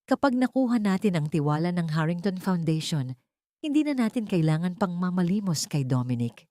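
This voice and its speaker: A woman's voice: fairly low pitch, big pitch swings, fairly quick, dark tone, no echo, clean studio sound. A woman playing a vain, bitter young socialite villain with a haughty manner in an audio drama. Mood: scheming.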